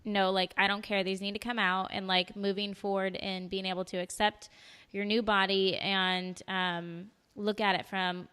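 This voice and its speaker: Soft-spoken woman host in a reverberant room. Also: medium pitch, little pitch movement, normal speed, clear, resonant tone. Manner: soft-spoken, hesitant, many fillers. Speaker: podcast host. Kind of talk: casual interview questions.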